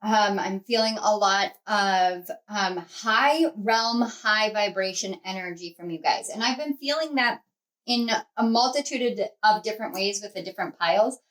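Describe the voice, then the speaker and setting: A woman's voice: medium pitch, normal speed, fairly bright tone. A woman hosting a pick-a-card tarot reading, talking to her viewers in a rambling, conversational way with many fillers and restarts. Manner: earnest, speaks to viewers as a group. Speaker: tarot reader and channel host.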